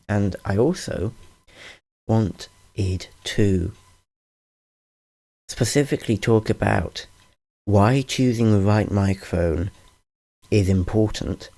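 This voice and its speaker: A deep-voiced man talks slowly through a casual tutorial, his tone dark and lively in pitch. He often stops to correct himself with dry humor, recorded close on a studio condenser microphone. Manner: rambling, self-correcting, wry. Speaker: channel host explaining audio gear. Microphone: large-diaphragm studio condenser.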